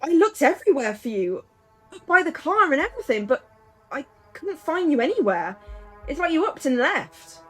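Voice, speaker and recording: An actress playing a frightened, guilt-ridden young woman in an audio drama, speaking in halting, broken-off phrases. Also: high-pitched, big pitch swings, a bit bright. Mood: frantic, bewildered. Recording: music behind.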